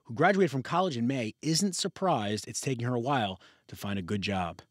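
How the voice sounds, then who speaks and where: Male news anchor, American accent, mid-range pitch, delivering scripted bulletins. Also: brisk.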